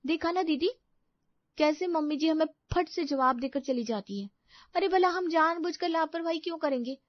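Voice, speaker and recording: A woman's voice: sits high, normal speed, dry recording, close to an everyday voice. A woman playing a young, exasperated daughter-in-law in a family story, whiny and emphatic, in a slightly dull recording. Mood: aggrieved.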